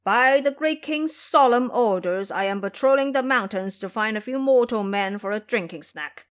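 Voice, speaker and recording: A woman voicing a ferocious, boastful male tiger demon with loud, imperious delivery in an audio drama. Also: on the high side, expressive, moderate pace, clean tone, close to an everyday voice. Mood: roaring, threatening.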